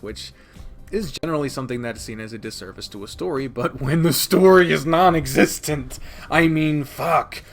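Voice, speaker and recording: Animated, blunt man reviewing games. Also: mid-range voice. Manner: blunt, emphatic, sweary, exasperated. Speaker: video host reviewing games. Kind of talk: opinionated, casual ranked review commentary.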